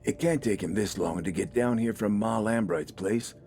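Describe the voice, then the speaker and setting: Guy doing a grumpy older soldier for an audio story, growly, sweary, pitched up a bit, faint music behind. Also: moderate pace, darkish tone, close to an everyday voice. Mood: gruff, annoyed. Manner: grumbling, swearing. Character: gruff older balding village militiaman, irritable.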